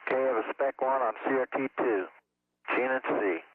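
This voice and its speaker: A man's voice: medium pitch, a little fast, no room sound. A male astronaut talks over a very narrow, phone-like radio link with no room sound. His phrasing is informal and folksy, mixed with technical callouts. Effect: radio transmission. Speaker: astronaut aboard spacecraft. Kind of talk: casual spaceflight radio chatter.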